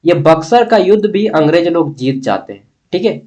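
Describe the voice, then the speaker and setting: Male history tutor, fairly high voice, Bihar-Jharkhand accent; colloquial, repeats key points, poses questions and answers them. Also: animated, moderate pace, a little mellow. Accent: eastern Indian Hindi, Bihar-Jharkhand. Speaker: history teacher. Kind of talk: informal exam-prep lesson, explaining a text.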